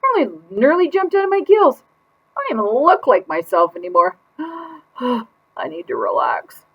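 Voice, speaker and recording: A woman's voice: fairly high pitch, wildly swooping pitch, moderate pace, creaky, slightly rough, pitched above the performer's own voice, full character voice. A woman reading a children's picture book aloud as a put-upon goldfish keeping a diary, with exaggerated, exasperated emphasis. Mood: frantic, fed up. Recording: dull lo-fi sound.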